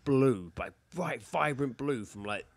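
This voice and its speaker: A man's voice: medium pitch, a little slow, gravelly, dry recording. A British man co-hosting a podcast, telling anecdotes in a casual, sweary way and acting out what people said. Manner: sweary, animated storyteller who acts out quotes. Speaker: podcast co-host.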